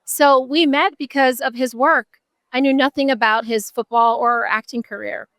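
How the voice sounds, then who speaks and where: High, clear-voiced middle-aged American woman. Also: steady tone, normal speed, fairly bright tone, dry recording. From Buffalo, New York, USA. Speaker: interview guest, nonprofit advocate. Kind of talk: conversational live interview.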